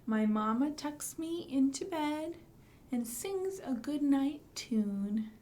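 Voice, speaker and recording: A woman's voice: sits high, lively intonation, slow, warm tone. A woman hosting a children's storytime, explaining books and rhymes simply for young listeners. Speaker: children's librarian, storytime host.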